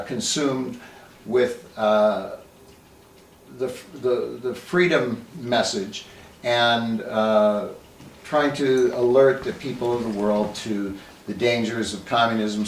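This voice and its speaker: A male magazine editor introduces his guest in an unhurried, halting way, filling gaps with uhs. He is some distance from the microphone, and his voice rings in a roomy space over a faint hiss. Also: mid-range voice. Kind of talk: interview introduction. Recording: echoey room, mic at a distance, faint hiss.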